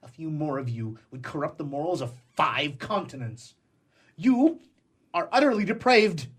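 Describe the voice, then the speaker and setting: A man's voice: a little high, animated, moderate pace, husky. An actor playing a jilted, cynical man of the world in a stage comedy, with a sarcastic, declamatory manner. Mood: scandalized, indignant. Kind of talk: theatrical stage comedy acting.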